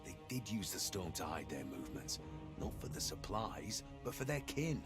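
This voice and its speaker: An actor playing a weary, gruff and loyal monster hunter in a video game. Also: male voice, low voice, very animated, moderate pace. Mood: watchful. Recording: loud music underneath. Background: game music.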